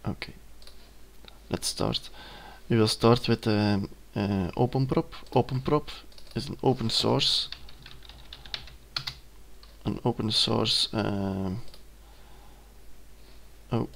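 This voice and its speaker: A young man in his twenties, a native Dutch speaker with a Dutch accent, hesitantly talking through a screen-recorded software tutorial, with many ums and self-corrections. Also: fairly low pitch, slow pace, vocal fry, pauses often. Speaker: student giving a tutorial. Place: at a computer, indoors.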